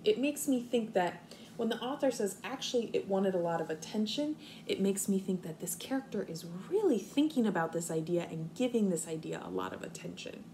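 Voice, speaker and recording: A young woman, a kindergarten teacher, reading aloud expressively and explaining things simply and deliberately to small children. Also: mid-range voice, darkish tone. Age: young adult. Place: living room at home, indoors. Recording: faint room noise, home video.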